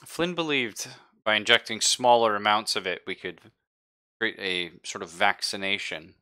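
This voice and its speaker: A man running a tabletop roleplaying game, speaking in character with a measured, halting delivery. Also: fairly low pitch, sharp tone, clear, resonant tone. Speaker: game master.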